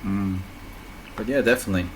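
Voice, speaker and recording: A man's voice: on the low side, a little fast, slight background noise, rough recording. A young man from South Sudan telling stories in casual chat, hesitant with many fillers.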